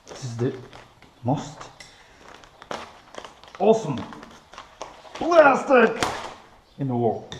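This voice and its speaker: Slow-talking Spanish man in a garage. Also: on the high side, wildly swooping pitch, a bit bright, a little hiss or hum. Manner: halting, searching for English words with many fillers. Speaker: motorcycle garage vlogger. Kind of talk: casual workshop talk, switching between English and Spanish. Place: home garage workshop.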